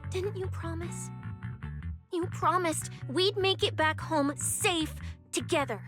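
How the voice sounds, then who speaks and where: A woman playing a caring, anxious young female specialist in an expressive anime game style. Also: very high-pitched, slow pace. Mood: worried, scolding. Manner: expressive, emotive. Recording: game music behind voice.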